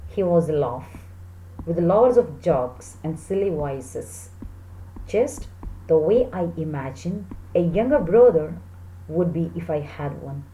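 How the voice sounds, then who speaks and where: A woman in her thirties teaching an English lesson, speaking deliberately as if to a class of children. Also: sits low, animated, mellow. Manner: deliberate, checking questions to pupils. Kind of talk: English lesson for children, reading text aloud and explaining. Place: small plain room indoors. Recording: roomy echo with slight background noise.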